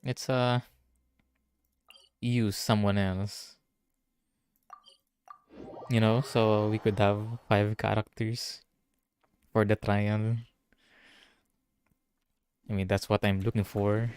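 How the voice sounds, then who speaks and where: A man's voice: fairly low pitch, little pitch movement, slow pace, pauses often, darkish tone, clean tone, no room sound. A man doing casual live game commentary, musing aloud as he plays. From Philippines. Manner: muses aloud, mildly swearing. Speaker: VTuber game streamer.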